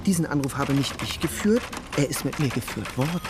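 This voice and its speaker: Over clearly audible background ambience comes a man playing a young police detective in a radio crime drama. He is lovestruck and quick to get irritated, talking naturally at a middle pitch. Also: expressive, background noise, lightly acted. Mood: irritated, brisk. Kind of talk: naturalistic German radio play dialogue.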